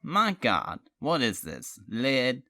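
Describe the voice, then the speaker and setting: Audiobook role: mocking male rogue, middle-aged, female narrator performing, crude, defensive, sarcastic, swinging pitch. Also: wildly swooping pitch, takes it slowly, pushed and bright, exaggerated voice. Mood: sarcastic surprise.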